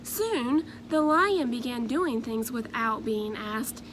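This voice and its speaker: A woman narrates a children's story in a fairly high voice, taking her time with every phrase. Her delivery is expressive and measured, over clear background noise. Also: poor audio. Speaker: storybook reader.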